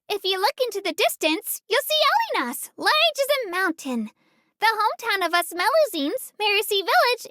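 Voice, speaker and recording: A woman's voice: extremely high pitch, sharp tone, no echo, studio quality, full character voice. A woman voicing a small, cheerful female creature who works as an eager, polite tour guide in a video game, with a cute, girlish voice and childlike earnestness. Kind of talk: scripted video game character narration.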